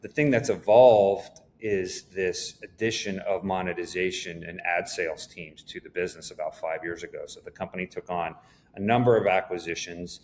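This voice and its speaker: A man speaking as an executive guest in a conversational business interview, explaining things in a measured way. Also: sits low, fairly even intonation, a little mellow, a little hiss or hum.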